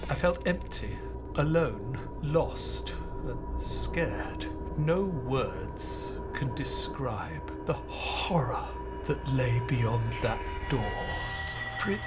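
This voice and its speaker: An actor playing a sombre Victorian doctor, a man narrating and talking in an audio play in a grave, measured, dramatic way. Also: medium pitch, very animated. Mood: grave, haunted. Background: background music.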